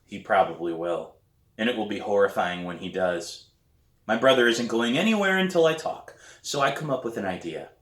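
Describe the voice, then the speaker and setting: A man reading a novel aloud as its author, with expressive, deliberate and dramatic storybook narration. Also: fairly low pitch, roomy sound.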